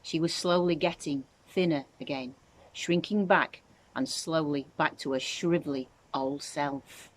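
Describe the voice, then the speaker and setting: Woman teacher reading a kids book out loud, lowish voice, expressive storytelling. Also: a little slow, poor audio. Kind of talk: expressive children's story narration.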